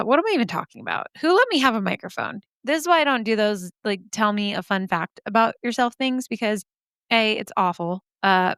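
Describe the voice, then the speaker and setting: A woman in her thirties hosting a podcast, her voice hoarse and scratchy with vocal fry, rambling through self-deprecating asides. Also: mid-range voice, fairly bright tone, no room sound, studio quality. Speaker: podcast host. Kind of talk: chatty podcast intro.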